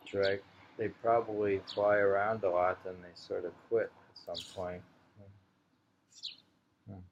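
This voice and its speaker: A man reading short poems in an unhurried, halting way, repeating lines slowly and musing on them informally in a dull, lo-fi home recording. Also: low voice, flat delivery, slight background noise. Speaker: reader of a poetry anthology.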